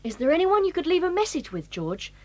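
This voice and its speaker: A woman's voice: very high-pitched, sing-song, fairly quick, dry recording. An actress playing a sensible, organised eldest boy who takes charge of the group. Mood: planning.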